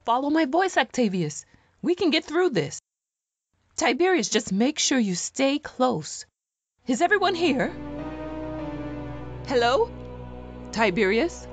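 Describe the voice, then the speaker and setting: A woman's voice: high-pitched, big pitch swings, slow pace, long pauses, faint music behind, heavily acted. An actress playing an anxious, commanding woman politician, urgent and protective, in an audio drama. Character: anxious, commanding female politician leading trapped colleagues.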